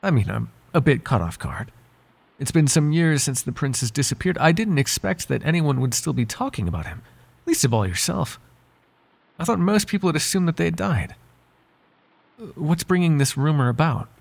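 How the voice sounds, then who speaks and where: A man playing a devoted, flirtatious butler with a secret in an intimate ASMR roleplay, speaking softly in polite, formal phrases with halting hesitations. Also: on the high side, big pitch swings, vocal fry, heavily acted. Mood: uneasy curiosity. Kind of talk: ASMR roleplay voice acting, intimate one-sided dialogue.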